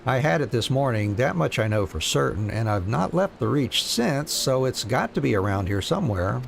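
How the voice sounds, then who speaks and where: A man's voice: medium pitch, lively intonation, moderate pace, creaky, slight background noise. A man voicing a scatterbrained, hearty rebel soldier in a fantasy game, sheepish and chatty. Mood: sheepish, pleading. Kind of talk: reading fantasy game dialogue aloud.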